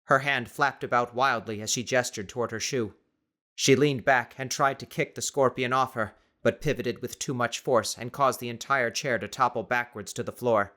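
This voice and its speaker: Man, audiobook narrator, medium pitch, scripted dramatic storytelling. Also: steady tone, normal speed, sharp tone.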